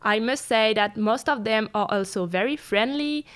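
A woman's voice: on the high side, normal speed, clean tone. A nineteen-year-old woman from France, a student who speaks carefully and a little formally. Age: teens. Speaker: student guest.

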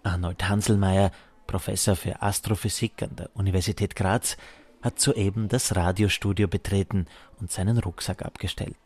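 A man's voice: low voice, steady tone, normal speed, darkish tone. A man reading scripted narration for a radio documentary as its reporter.

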